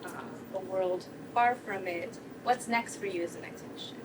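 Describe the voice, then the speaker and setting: A woman speaking up from the back of the room, a former scholar of the program, praises the presenter warmly and then asks two questions. Her voice is distant, with clear background noise. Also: mid-range voice, moderate pace. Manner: warm, praising. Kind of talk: asking a question.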